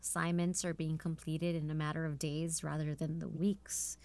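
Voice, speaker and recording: A woman's voice: sits low, flat delivery, normal speed, a little mellow. A woman playing a defiant, no-nonsense military strategist in a scripted drama, speaking in a firm, assertive way. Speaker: voice actor in audio drama.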